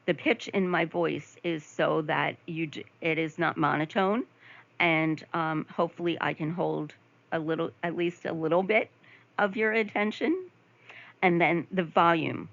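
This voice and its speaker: A woman instructor giving a conversational recorded lecture over slides, with frequent ums, asides, and throat clearing. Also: low-pitched, fairly bright tone, highs cut off. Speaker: nursing instructor.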